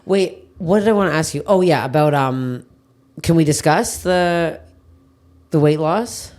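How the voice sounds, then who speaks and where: A woman comedian, a guest on a podcast, chatting bluntly and jokily, with quick asides and free swearing. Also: sits low, lively intonation, a little mellow. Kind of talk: casual chat.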